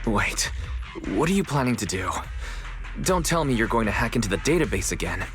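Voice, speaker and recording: A man's voice: mid-range voice, very animated, a little fast, a bit bright. An actor playing a wary male investigation captain in a video game, dry and skeptical in the way he talks. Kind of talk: scripted video game story dialogue. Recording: music behind the voice.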